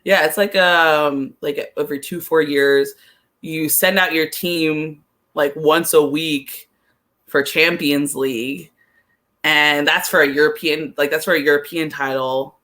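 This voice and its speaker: A man with a sharp, bright voice pitched a little high talks soccer as a podcast co-host. He speaks casually and rambles, stopping and correcting himself as he goes. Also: steady tone. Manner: rambling, halting, self-correcting.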